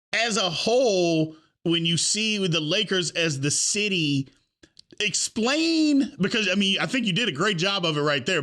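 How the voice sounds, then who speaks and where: A man's voice: fairly high pitch, bright, forceful tone. A man with an American accent hosting a casual sports talk podcast and putting questions to his guest. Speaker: podcast host, interviewer.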